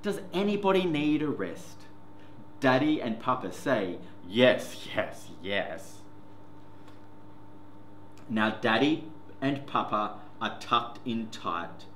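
A man hosts a children's story time with a bright, clear-cut delivery and very lively, sing-song pitch. He takes his time over the simple words for small listeners. The room adds an echo, with faint noise behind him. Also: slow, pauses often. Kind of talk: picture book read-aloud for young children. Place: indoor classroom reading corner.

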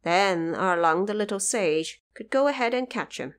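A woman voicing a composed, helpful Bodhisattva deity in an audio drama, with a measured, assured manner. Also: mid-range voice, animated, lightly acted.

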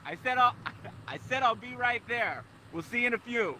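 Breezy, oblivious male driver, high voice, rambling over a very noisy car call, game drama. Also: animated, normal speed. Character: chatty, oblivious male driver calling from the road. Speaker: caller driving in a car. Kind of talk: radio drama acting in a game.

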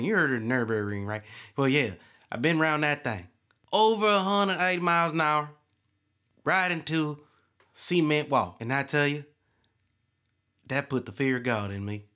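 A man acts out a veteran race car driver on a comedy radio show. He brags in a folksy, plainspoken way, at a middling pitch. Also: pushed and bright. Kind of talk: comedic radio interview skit.

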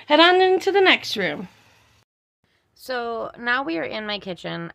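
A woman's voice: mid-range voice, creaky, no room sound. A young woman chatting casually to her viewers as a home vlogger. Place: small rooms of a mobile home, indoors.

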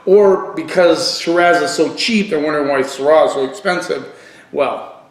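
Middle-aged man, higher-pitched voice, echoey room. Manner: halting, thinking aloud while tasting, emphatic repetition. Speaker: wine reviewer. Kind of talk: casual video wine review. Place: living room at home, indoors.

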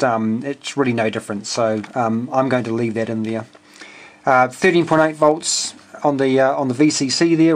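A man with a New Zealand accent giving a casual, unscripted technical walkthrough as a radio hobbyist, hesitant and speaking in short phrases. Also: medium pitch. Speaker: amateur radio hobbyist presenting his homebuilt receiver. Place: indoors at a home workbench. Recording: clearly noisy home video with clicks.

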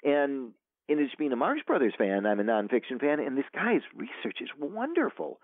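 A man's voice: medium pitch, huge pitch swings, moderate pace, no room sound. Man rambling on a tinny phone line. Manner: rambling, many fillers and restarts. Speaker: author guest. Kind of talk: casual interview chat.

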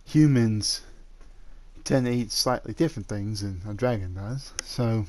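Soft, coaxing dragon suitor, played by a man. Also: on the low side, measured pace, slight background noise, close to an everyday voice. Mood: eager, fond. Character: male dragon in human form, eager possessive suitor. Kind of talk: roleplay ASMR audio drama, addressing the listener.

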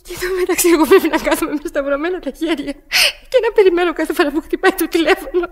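An actress playing a querulous, self-pitying bedridden woman in a radio drama, fretful, tearful and demanding. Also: very high voice. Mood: frantic, indignant.

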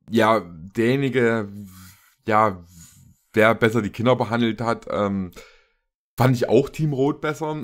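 A man in his twenties giving casual, joking reaction commentary in a rambling, mock-serious way. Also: fairly low pitch. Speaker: reaction video host. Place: small indoor gaming room.

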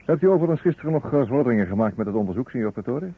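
Shrewd, measured chief detective, husky, on a muffled vintage radio recording. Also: male voice, mid-range voice, animated, dry recording. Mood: musing, probing. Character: shrewd, unhurried male chief police detective. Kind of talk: old Dutch radio detective drama acting.

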